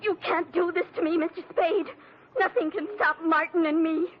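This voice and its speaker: An actress playing a petulant, lovestruck young woman, indignant and shrill, in a 1940s-style radio melodrama. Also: steady tone, normal speed. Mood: indignant, defiant. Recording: dull, noisy vintage radio recording.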